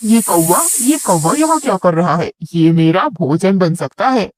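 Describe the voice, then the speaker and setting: A woman's voice: fairly low pitch, lively intonation, a little slow, slight background noise, voice pushed down. Woman voicing a domineering predator snake. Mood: menacing. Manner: commanding, threatening. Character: strong, territorial male snake, domineering predator. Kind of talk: animated children's bird story.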